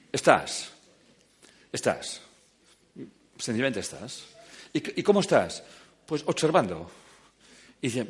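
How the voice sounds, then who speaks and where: Animated man lecturing an audience. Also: medium pitch. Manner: animated, joking, swings between loud and quiet. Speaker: speaker giving a talk.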